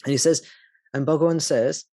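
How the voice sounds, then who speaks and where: A man's voice: on the high side, steady tone, brisk, dark tone, dry recording. A man leading a satsang, explaining spiritual teachings patiently and thinking aloud as he goes.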